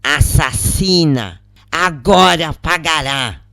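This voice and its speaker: A woman's voice: sits low, lively intonation, slow, gravelly, bright, forceful tone, dry recording, clean studio sound, full character voice. A woman with a Brazilian accent voicing a vengeful, accusing spirit heard inside someone's head in an audio story. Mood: taunting accusation.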